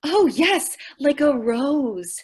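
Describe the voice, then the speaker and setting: A woman's voice: high voice, sing-song, very slow, a little mellow, slight background noise, exaggerated voice. A woman playing a ditzy, cheerful and naive young working woman in old-time radio comedy style. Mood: naively confident. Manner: naive, earnest, mangles what she means to say.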